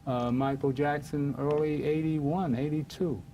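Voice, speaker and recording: A man's voice: a little high, steady tone, measured pace, mellow, a little hiss or hum, poor audio. An older man from Harlem hosting a casual talk show, reminiscing in a rambling way with many fillers. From Harlem, New York.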